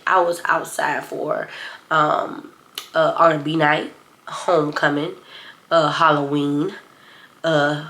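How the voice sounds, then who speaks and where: Low-voiced congested young woman vlogger. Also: a bit bright. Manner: chatty, rambling, talks straight to viewers. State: congested from a cold. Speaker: storytime vlogger. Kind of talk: casual storytime chat.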